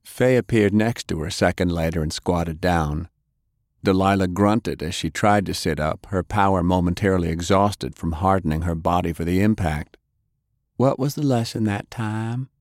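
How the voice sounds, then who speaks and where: A man with a deep voice narrates an action tale as a scripted audiobook. The sound is dry, with hardly any room around him. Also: normal speed, a little mellow, no echo.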